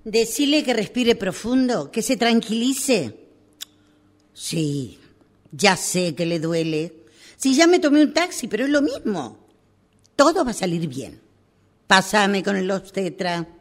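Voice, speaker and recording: A woman's voice: medium pitch, very animated, measured pace, pauses often. A woman playing a worried, reassuring midwife who coaches a birth by phone in a radio drama, with an Argentine Spanish accent. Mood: anxious, reassuring. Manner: urgent, reassuring, instructing. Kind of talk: radio drama acting, one side of a phone call.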